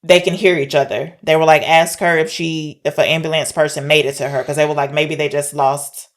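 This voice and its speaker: A woman's voice: sits low, little pitch movement, fairly quick. A woman co-hosting a podcast, talking informally as she retells and discusses a film. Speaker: podcast co-host.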